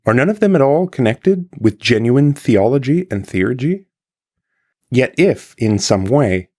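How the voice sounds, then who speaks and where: Male narrator for classical philosophy audiobook: lowish register, highly varied intonation, formal and deliberate phrasing. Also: darkish tone. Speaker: reader of a philosophical text. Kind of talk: scripted audiobook-style reading of an archaic philosophical translation.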